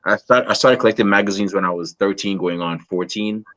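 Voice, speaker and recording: Very deep-voiced man, muffled lo-fi sound. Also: fairly bright tone. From Guyana. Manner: halting, frequent restarts and "you know". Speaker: interviewer. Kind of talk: casual interview chat. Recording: dull lo-fi sound.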